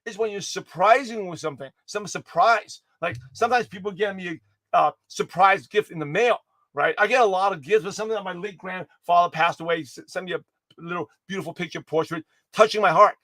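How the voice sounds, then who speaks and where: A man giving an energetic livestream pep talk to his viewers, his voice hoarse and slightly raspy, rambling with many restarts and self-corrections. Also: sits high, fairly quick, no echo. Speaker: motivational speaker giving a daily pep talk.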